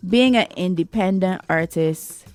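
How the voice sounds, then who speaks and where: Bajan woman radio host, lowish voice, slow thoughtful questions. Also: darkish tone, soft background music. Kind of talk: radio interview.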